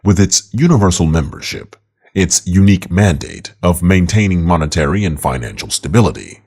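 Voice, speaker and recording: A man's voice: low voice, expressive, a little fast, a little mellow. A man reading an opinion article aloud as scripted narration.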